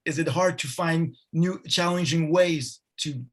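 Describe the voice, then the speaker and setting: A man with a French accent, interviewing over a video call and asking friendly questions in quick bursts. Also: on the high side. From France.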